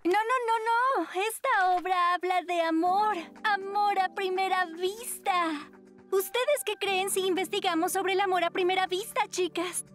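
A woman's voice: very high-pitched, unhurried, a bit bright. A woman voicing a cheeky, romantic teenage girl in a cartoon, playful and dramatic. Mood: eager. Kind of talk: cartoon voice acting. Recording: music behind the voice.

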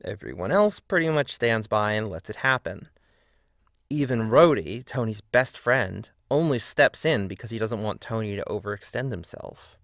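A man's voice: lowish voice, animated, normal speed, fairly bright tone, dry recording, studio quality. A man delivering scripted video-essay narration with casual asides, in an opinionated, emphatic and wry manner.

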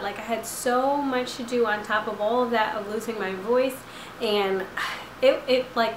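A woman in her twenties, slightly hoarse from a lost voice, chatting casually to camera from her couch with lots of fillers. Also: fairly high pitch, reverberant, noisy background. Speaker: vlogger. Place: living room couch at night. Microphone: camera at close range.

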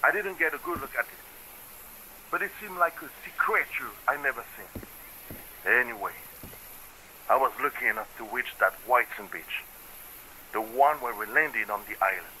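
An actor playing a haunted, determined island fisherman in a video game, giving grave, measured testimony through an old tape filter. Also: medium pitch, lively intonation, bright, forceful tone. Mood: haunted, resolute. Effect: old tape recording filter. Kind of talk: scripted recorded testimony. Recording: noisy, thin reel-to-reel tape playback.

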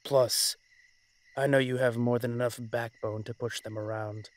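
Guy playing a tired dragon mafia boss, mid-pitched male voice, measured and in charge, roleplay audio. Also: flat delivery, natural voice. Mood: grave, commanding. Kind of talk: dramatic roleplay monologue.

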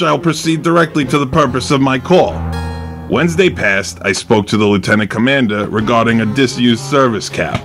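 An audio drama giant, with a low, creaky voice. He is an earnest superfan who talks in pompous, ornate formalities. Light music plays underneath. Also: a bit bright. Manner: florid, grandiloquent, formal.

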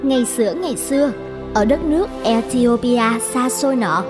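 A woman's voice: a little high, animated, moderate pace, over music. A woman reading scripted fairy-tale narration.

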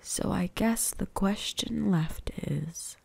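Slow, breathy vampire huntress played by a woman. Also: medium pitch, a little mellow, no room sound, full character voice. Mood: cool, musing. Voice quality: breathy, often whispered. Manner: slow, teasing, menacing. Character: ancient female vampire huntress, predatory, possessive, seductive. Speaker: voice actress. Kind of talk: ASMR roleplay, close breathy whispering.

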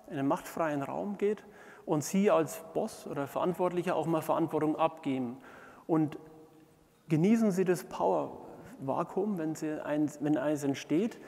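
A middle-aged man delivers a conference keynote in a fairly high voice with plenty of vocal fry. He pauses often and feels his way through phrases. The sound carries the long reverb of a large hall. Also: darkish tone. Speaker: keynote speaker, management consultant. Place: large indoor conference hall, on stage before seated audience.

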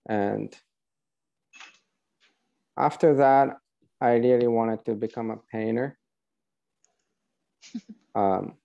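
A man talks slowly and haltingly as a guest painter in an online interview. His voice is creaky and mellow. The call audio is a little dull. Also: medium pitch. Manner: halting, searching for words. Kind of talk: casual personal recollection. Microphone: video call.

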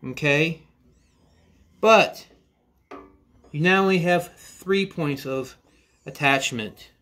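Guy in his 40s chatting about saws in his basement shop, slow and kinda high-ish voice, lots of restarts. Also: a bit bright. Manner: slow, rambling, with frequent restarts and "you know". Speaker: woodworking channel host. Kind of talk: casual workshop tool review. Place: cluttered indoor workshop or basement.

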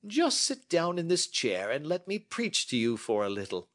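Man voicing a Victorian detective, precise, self-assured, lecturing, fairly high voice, lively pitch. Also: moderate pace. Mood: explaining. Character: brilliant, confident Victorian male detective.

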